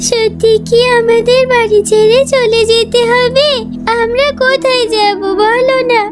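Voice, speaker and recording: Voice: extremely high pitch, level tone, unhurried. An actor voicing a pleading little jackal girl in a children's cartoon, with a thin, childlike voice. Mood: plaintive. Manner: plaintive, pleading. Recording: music under the voice.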